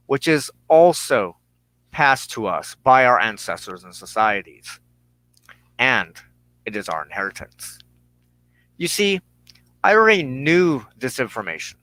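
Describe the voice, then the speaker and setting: A man hosting a religious broadcast, giving a solemn, measured sermon of personal testimony and teaching with emphatic phrasing and deliberate pauses. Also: medium pitch, pushed and bright, low-quality recording.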